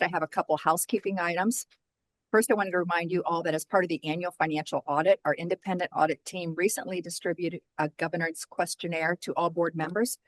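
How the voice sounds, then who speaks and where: A woman chairing a formal board meeting over a video call, speaking in a measured, procedural way. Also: fairly low pitch, dry recording, low-quality recording.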